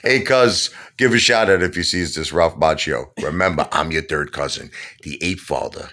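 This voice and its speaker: Deep-voiced rambling Brooklyn man. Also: normal speed. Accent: New York. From Brooklyn, New York. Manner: rambling, animated storyteller with many fillers. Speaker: channel host. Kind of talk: casual chat.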